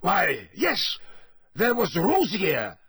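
A man's voice: very high voice, very animated, fairly bright tone, breathy edge, no echo, studio quality, voice pushed up, full character voice. A man in a storybook reading, voicing an unctuous, panicky prisoner who pleads breathlessly and stammers. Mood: panicked. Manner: breathless, hurried, stammering, ingratiating.

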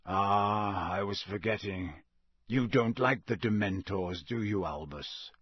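A man in a storybook reading, voicing a gruff, sardonic veteran wizard lawman in a growling mutter. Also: on the low side, very slow, no echo, voice pushed down, heavily acted. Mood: bitter, sardonic.

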